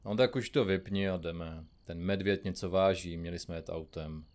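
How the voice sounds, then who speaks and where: A man plays a stoic, grumbling male detective in a comedy radio play. His voice is low and his delivery is dry and deadpan, that of a confirmed grouch. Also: lightly acted. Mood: weary, grumpy. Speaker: detective in a comedy mystery podcast. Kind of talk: audio drama acting.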